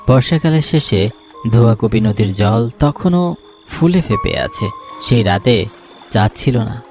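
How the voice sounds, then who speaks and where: A man's voice: mid-range voice, a little mellow. A man narrating a scripted ghost story. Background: background music.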